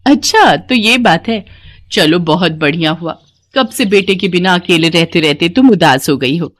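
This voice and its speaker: A woman's voice: on the low side, expressive, normal speed. A woman voicing a chatty, friendly village neighbour in a cartoon moral story. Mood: cheerful.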